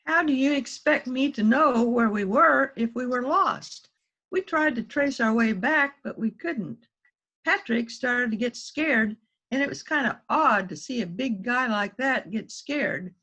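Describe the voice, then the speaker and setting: A woman's voice: a little high, animated, a little slow, pushed and bright, exaggerated voice. A woman playing a small, innocent-sounding murder suspect in an amateur mystery reading over dull call audio. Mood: innocent, explaining. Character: small, guileless-sounding female murder suspect spinning an alibi. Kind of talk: amateur readers theater mystery.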